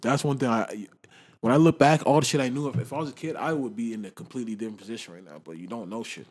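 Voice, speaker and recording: A man's voice: mid-range voice, quick pace, darkish tone. A man in casual podcast chat, slangy and rambling, who laughs often. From Canada.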